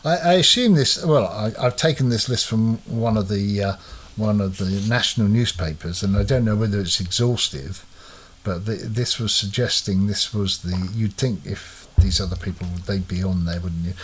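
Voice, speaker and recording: A man's voice: lowish voice, moderate pace, few pauses, husky. A man hosting a casual chat, quizzing his co-host with lots of hesitant fillers.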